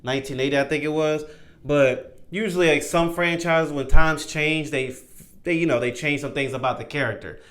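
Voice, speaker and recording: A man's voice: on the high side, fairly even intonation, normal speed, fairly bright tone. A man hosting a reaction video, chatting in a laid-back, rambling, conversational way. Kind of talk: casual reaction commentary.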